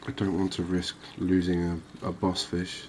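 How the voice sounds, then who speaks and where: A man giving quiet, cozy ASMR commentary on a game, unhurried and thinking aloud. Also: low-pitched, completely flat delivery, slight background noise. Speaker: gaming video host.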